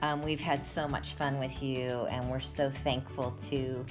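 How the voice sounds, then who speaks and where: A woman hosting friendly interviews, warmly repeating back what her guests tell her. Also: a bass voice, little pitch movement, unhurried, over music. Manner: warm, affirming, repeats back what she hears. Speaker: host, interviewer.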